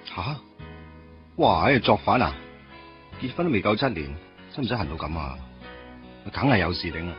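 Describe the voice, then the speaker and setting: A man's voice: low-pitched, big pitch swings, moderate pace, long pauses, lo-fi sound, faint music behind. An actor with a Hong Kong Cantonese accent playing a wistful, teasing young man in a radio drama, speaking in a wry, colloquial way. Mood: incredulous, teasing. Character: wistful, teasing young man reuniting with his first love. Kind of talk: radio drama acting with narrated inner monologue.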